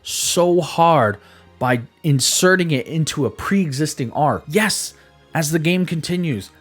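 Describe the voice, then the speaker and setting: Higher-voiced man reviewing games over music. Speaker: video game reviewer and channel host. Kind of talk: scripted review narration with casual asides.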